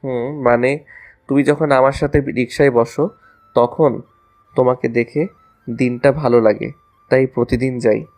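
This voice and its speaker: একজন পুরুষের অভিনয়ে দুষ্টু, রোমান্টিক কলেজপড়ুয়া ছেলে, পেছনে বাজনা. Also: male voice, medium pitch, steady tone, unhurried, long pauses, a little mellow, faint music behind, natural voice. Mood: flirty. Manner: playful, mock-innocent, teasing. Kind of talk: audio romance story acting.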